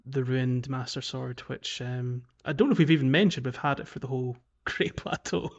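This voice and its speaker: A man's voice: mid-range voice, steady tone, normal speed, dull audio. A man talks casually as a podcast co-host recapping a game. His delivery is conversational and loosely explanatory, and he qualifies his points with small asides.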